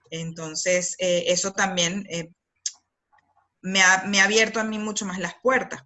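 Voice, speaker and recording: A woman's voice: lowish voice, pushed and bright. A woman with a Venezuelan accent, a copywriter talking as an interview guest in a thoughtful, rambling way with many fillers and restarts. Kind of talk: conversational interview.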